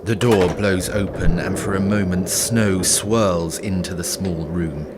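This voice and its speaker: A man narrating a scripted horror story in a measured, atmospheric way. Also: deep voice, steady tone, very noisy.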